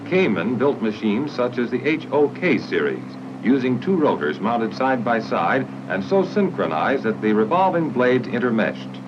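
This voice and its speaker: A man's voice: medium pitch, big pitch swings, noisy background. Muffled 1950s American training film narrator, male. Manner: formal, instructional, measured delivery.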